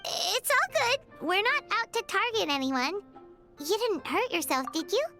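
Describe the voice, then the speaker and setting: A tiny, childlike fairy girl from an anime game. The voice is squeaky, very high and piercingly bright. It swings up and down excitably. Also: a little slow, heavily acted. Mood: concerned. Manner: excitable, refers to self in third person. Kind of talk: anime-style video game acting. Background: background music.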